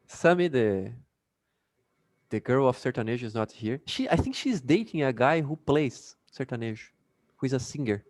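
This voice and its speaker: A man's voice: mid-range voice, very animated, measured pace, long pauses, a little mellow, no room sound. A Brazilian man with a Brazilian Portuguese accent, teaching an English class casually over a video call and chatting with many fillers.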